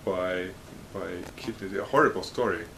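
A man's voice: sits low, normal speed, a bit bright. A man whose first language is German, a guest speaking English in hesitant casual chat with many restarts.

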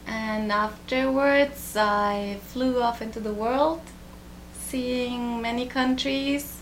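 A woman from Japan, a guest in an interview, speaking in a thoughtful, soft-spoken way with frequent pauses. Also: fairly high pitch, fairly even intonation, a little hiss or hum. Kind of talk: relaxed interview conversation.

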